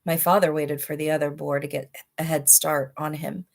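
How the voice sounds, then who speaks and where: A woman narrating a children's story in an expressive read-aloud style. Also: low-pitched, normal speed, vocal fry. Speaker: storybook reader.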